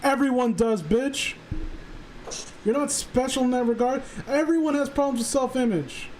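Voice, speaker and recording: High-pitched guy rambling earnestly on stream. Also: a little mellow, low-quality recording. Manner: halting, rambling, self-correcting, earnest. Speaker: stream participant. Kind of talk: casual stream discussion. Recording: faint background noise.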